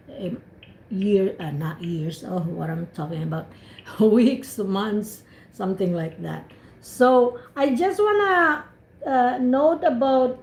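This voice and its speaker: Filipino woman tutor on a video call, fairly high voice, echoey room, talks through feedback with lots of restarts. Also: darkish tone. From Philippines. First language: Cebuano. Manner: encouraging, explains at length with many restarts. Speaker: IELTS speaking tutor. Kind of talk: giving spoken feedback on an online call.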